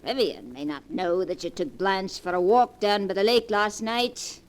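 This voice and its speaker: An actress playing a rich, sharp-tongued old grandmother with a dry, haughty, cutting delivery in 1940s radio drama style. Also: medium pitch, normal speed, natural voice. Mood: sly, amused. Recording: vintage 1940s radio recording.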